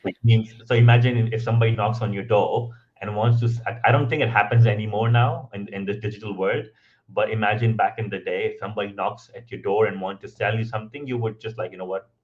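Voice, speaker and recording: A man speaking English as a second language, guesting on a podcast and telling his story in a relaxed, conversational way, with many ums and "right?" tags. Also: on the low side, fast talker, phone-quality sound. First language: not English. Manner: frequent ums and restarts, tag questions of "right?".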